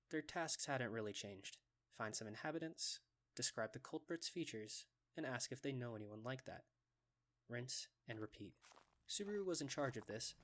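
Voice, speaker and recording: A man narrating a scripted fantasy story for an anime fan dub. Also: medium pitch.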